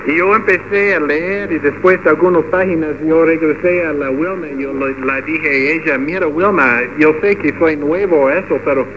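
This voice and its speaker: Man speaking halting, Spanish. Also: a little high. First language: English. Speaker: radio pastor. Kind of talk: church ceremony speech. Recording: muffled with background noise.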